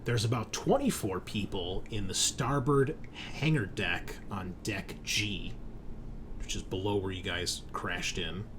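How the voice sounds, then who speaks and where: Male game master, lowish voice, improvised sci-fi horror narration, conversational and descriptive, slight background noise. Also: unhurried. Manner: casual, explanatory, descriptive.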